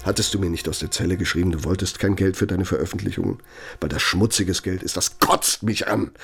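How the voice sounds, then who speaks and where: An actor in a radio drama playing an embittered, proud older German man, a betrayed confidant who speaks with deliberate, sardonic reproach in a gravelly, husky voice. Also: low-pitched, a bit bright, soft background music, heavily acted. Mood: disgusted, bitter.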